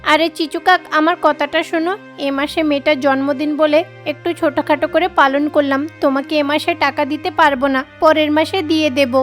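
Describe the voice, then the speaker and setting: Woman voicing a high-pitched, gentle mother sparrow. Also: fairly even intonation, brisk, faint music behind, natural voice. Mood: anxious. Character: kind, hardworking mother sparrow, anxious but reassuring. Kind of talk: children's cartoon voice acting.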